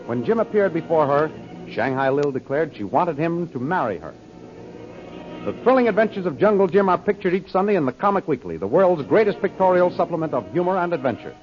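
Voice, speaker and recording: A man narrating an old-time radio serial as its announcer, in a formal, dramatic, scripted style. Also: fairly high pitch. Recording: dull old radio recording. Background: music.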